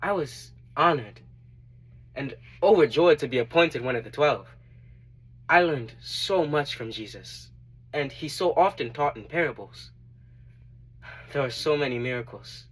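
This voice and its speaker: A man performing a dramatic monologue as a repentant tax collector turned devoted disciple, earnest and reflective, with deliberate emphatic swells. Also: a little high, expressive, fairly bright tone, husky, pitched above the performer's own voice, full character voice, rough recording. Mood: awed. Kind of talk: dramatic monologue, first-person testimony.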